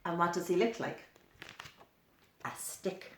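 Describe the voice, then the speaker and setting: A woman's voice: fairly low pitch, fairly even intonation, slow, fairly bright tone, echoey room, a little hiss or hum. A woman reading a picture book aloud to young children, often prompting them with simple questions. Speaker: storyteller for children.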